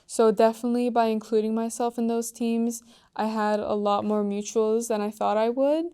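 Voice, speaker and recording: A teenage girl from Iran answering interview questions in an earnest, soft-spoken way, with frequent "like" and "um" fillers. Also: fairly high pitch, flat delivery, moderate pace, clean tone. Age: teens.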